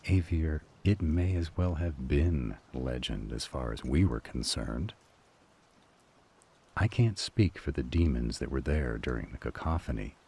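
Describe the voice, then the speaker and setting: A man playing a weary, firm demon council member in an audio drama, speaking in a measured, argumentative way. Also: a bass voice, lively intonation, warm tone, husky, heavily acted. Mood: firm, sombre. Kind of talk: dramatic audio roleplay acting.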